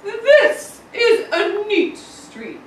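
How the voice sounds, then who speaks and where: A woman voicing fussy storybook neighbours who complain politely in an exaggerated, drawn-out way. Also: very high-pitched, huge pitch swings, pitched above the performer's own voice, exaggerated voice. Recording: echoey classroom from far off, some noise.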